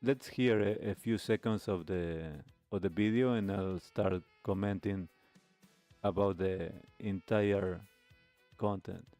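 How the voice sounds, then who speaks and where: A man hosting a talk show, giving commentary on a video in measured, hesitant phrasing. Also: sits low, steady tone, mellow, soft background music. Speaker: host of a nostalgia talk show.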